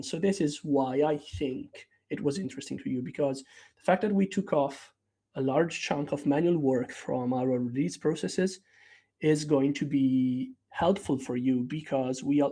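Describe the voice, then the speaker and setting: A man's voice: fairly high pitch, mellow, breathy edge. A man whose first language is Italian, presenting a technical product update in a conversational way with frequent fillers and restarts. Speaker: developer relations presenter.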